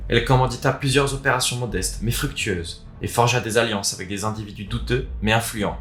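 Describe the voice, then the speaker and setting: A man's voice: on the low side, fairly bright tone. A man presenting a video, talking and reading aloud as its host. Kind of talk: casual video introduction, then expressive reading of a written story. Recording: roomy echo, music underneath. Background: background music.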